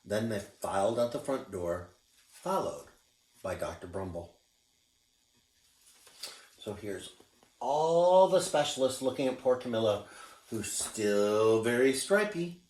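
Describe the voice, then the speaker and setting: A man, a teacher, reading a children's picture book aloud expressively and pausing to show the pictures. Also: lowish voice. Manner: expressive, showing pictures as he reads. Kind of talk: children's read-aloud narration. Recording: roomy, distant mic.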